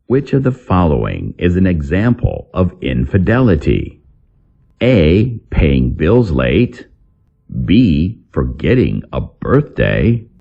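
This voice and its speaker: Deep, gravelly man reading an English lesson. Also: muffled recording. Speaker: English teacher. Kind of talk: scripted vocabulary lesson narration.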